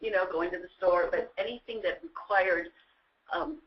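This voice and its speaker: Female discussion participant, middle register, halting conversational phrasing, dull lo-fi recording. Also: medium pitch, expressive. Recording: muffled webinar audio.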